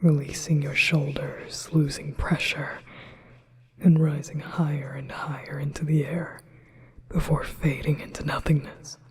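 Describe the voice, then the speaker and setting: A man voicing a possessive, manipulative male demon in a whispered ASMR hypnosis roleplay, speaking in a slow, soothing whisper. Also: a little high, flat delivery, darkish tone, slightly rough, exaggerated voice, rough recording. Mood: hypnotic.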